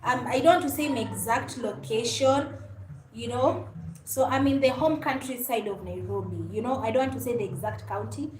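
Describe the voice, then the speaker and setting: A woman with a Kenyan accent and a high voice hosts a casual livestream. She talks in a friendly, conversational way. The room gives her voice a clear echo, with a little noise underneath. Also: normal speed, darkish tone. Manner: friendly, mixes English and Swahili, often thanks and greets viewers. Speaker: livestream host. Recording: echoey room with faint background noise.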